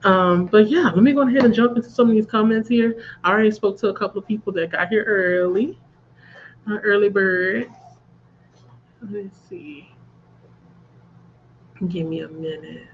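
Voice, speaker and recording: A woman's voice: mid-range voice, moderate pace, long pauses, muffled recording, slight background noise. A congested woman chatting casually as host of a reading livestream and laughing at her own jokes. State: congested from a cold. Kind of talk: casual chat. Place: at home.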